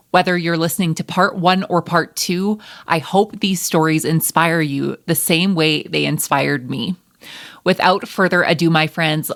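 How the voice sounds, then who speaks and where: A woman's voice: deep voice, creaky, a bit bright, dry recording, clean studio sound. A woman, speaking as a podcast host and interviewer.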